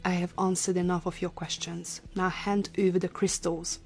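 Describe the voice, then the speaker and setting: A woman's voice: on the low side, level tone, moderate pace, creaky, soft background music. A woman playing a cold, commanding scientist in a sci-fi audio drama, speaking formally and imperiously. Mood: impatient. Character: cold, commanding female scientist leading a time-travel mission.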